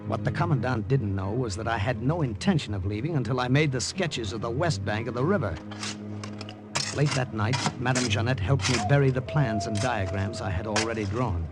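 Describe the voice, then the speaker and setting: A man playing a resourceful wartime spy tells his story in clipped, dramatic phrases, at a middling pitch. It is vintage radio drama with music clearly playing behind him. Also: lively intonation, a little fast, lightly acted. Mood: tense, suspenseful. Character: undercover American secret agent, tense, resourceful, narrating. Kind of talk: 1950s radio drama acting and narration.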